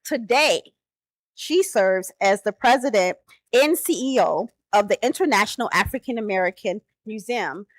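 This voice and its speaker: A woman's voice: mid-range voice, animated, normal speed, no echo. Woman emceeing a dinner, dry close mic. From South Side of Chicago. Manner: warm, conversational, with fillers and self-corrections. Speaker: event emcee introducing the keynote speaker. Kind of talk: formal introduction speech with personal asides. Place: banquet hall.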